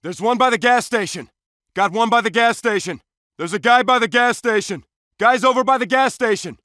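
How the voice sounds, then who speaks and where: A man's voice: extremely high pitch, moderate pace, sharp tone, no echo, studio quality. A man playing an intense SWAT officer in a shooter game, making clipped, urgent, commanding tactical callouts. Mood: tense reporting. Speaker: video game voice actor.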